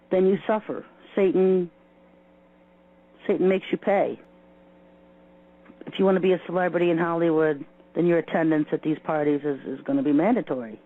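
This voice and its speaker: A man delivering an insistent, repetitive explanatory monologue. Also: a little high. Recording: muffled narrow lo-fi audio with hum.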